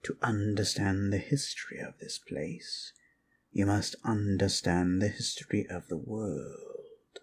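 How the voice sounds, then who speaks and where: Male actor as ancient-style lich storyteller, creaky voice, very slow delivery, polite and rambling, fantasy ASMR roleplay. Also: on the low side, a little hiss or hum. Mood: inquisitive, inviting. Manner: unhurried, musing, formally polite with long pauses.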